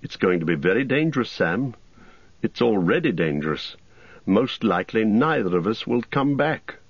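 A man's voice: on the low side, big pitch swings, moderate pace, slightly rough, dry recording, muffled recording, exaggerated voice. A man voicing a weary, guarded male hobbit who carries a heavy burden, in a storybook reading. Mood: grave. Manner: guarded, terse.

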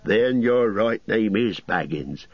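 A man voicing a fussy, apologetic middle-aged innkeeper who chatters and rambles, with a husky voice. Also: lowish voice, lively intonation, slow, no room sound, highs cut off, voice pushed up, heavily acted. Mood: fussy, rambling.

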